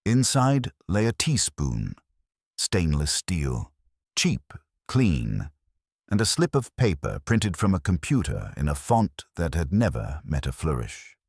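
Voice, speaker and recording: A man's voice: low-pitched, huge pitch swings, slow pace, creaky, darkish tone, husky, no echo, studio quality. A man reading a detective story aloud as a scripted audiobook narration.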